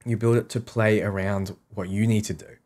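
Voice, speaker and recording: A man's voice: fairly low pitch, steady tone, normal speed, a little mellow. A man streaming a game, casually reading out questions and answering them offhand in short, repeated sentences. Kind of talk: casual livestream Q&A.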